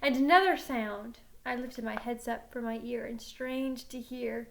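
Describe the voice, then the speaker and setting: A woman reading a children's novel aloud in an animated, dramatic storytelling manner. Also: a little high, a little slow, creaky, a little hiss or hum. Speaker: teacher reading a book aloud.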